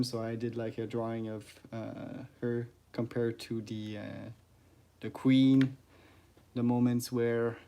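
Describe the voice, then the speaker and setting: A man with a French accent, a native French speaker, talking casually on a livestream about his ant-keeping hobby, pausing often with "uh" and stopping to greet viewers in the chat. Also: mid-range voice, mellow. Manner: halting, many "uh"s and restarts, greets chat viewers.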